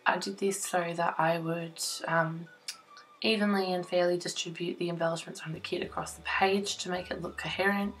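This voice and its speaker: A young woman, croaky after laryngitis, chatting casually with lots of ums as she narrates her scrapbooking. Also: lowish voice, fairly even intonation, normal speed, slight background noise, low-quality recording. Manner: chatty, self-conscious, with frequent ums. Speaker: scrapbooking vlogger. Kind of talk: casual crafting voiceover. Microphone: voice-over recorded separately from the filmed table.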